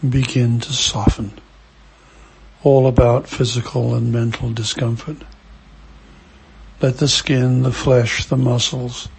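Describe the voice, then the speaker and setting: Slow, husky man guiding meditation. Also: medium pitch, poor audio. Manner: gentle, hushed delivery with long pauses between short phrases. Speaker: meditation guide. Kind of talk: guided meditation.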